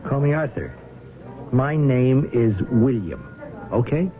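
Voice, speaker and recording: An actor playing an evasive, short-tempered restaurant manager with an American accent, stalling and bristling in a radio drama. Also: male voice, medium pitch, sing-song, takes it slowly. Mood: defensive, irritated. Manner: guarded, brusque, stalling. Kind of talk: 1970s radio drama acting. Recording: muffled vintage radio, faint music.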